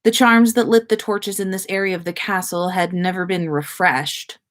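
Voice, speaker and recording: A woman narrating a written story aloud in an expressive, measured storybook manner. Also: fairly low pitch. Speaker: podcast host reading listener fan fiction.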